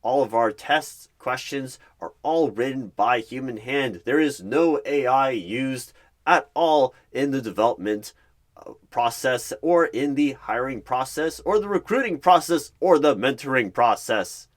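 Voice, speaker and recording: A man's voice: mid-range voice, measured pace, voice pushed up, close to an everyday voice. A man playing a nervous, defensive corporate recruiter in an interview roleplay, talking in emphatic, stilted office language with stumbles.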